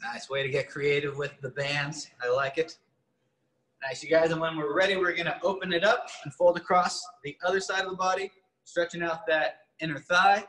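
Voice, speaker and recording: A man's voice: a little high, steady tone, moderate pace, sharp tone. A young man leading an online workout class from a gym, giving upbeat, encouraging stretching cues. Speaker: fitness coach. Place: large gym with rigs, indoors. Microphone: far camera. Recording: echoey gym room.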